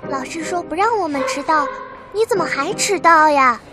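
A woman's voice: high-pitched, animated, moderate pace. A woman acting in a radio drama as a chatty, consoling young classmate with a childlike voice. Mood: curious. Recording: music bed under the voice.

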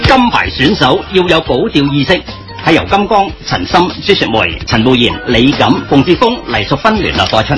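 A man's voice: medium pitch, sing-song, moderate pace. A man with a Hong Kong Cantonese accent reading scripted credits as a radio drama announcer. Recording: broadcast clip under loud theme music. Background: loud music.

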